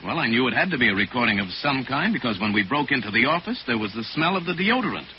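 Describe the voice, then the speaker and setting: An actor playing a calm, authoritative crime-fighting detective in 1940s radio style, with measured, formal, explanatory delivery. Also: male voice, medium pitch, lightly acted. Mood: assured, explaining. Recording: noisy, muffled 1940s radio recording.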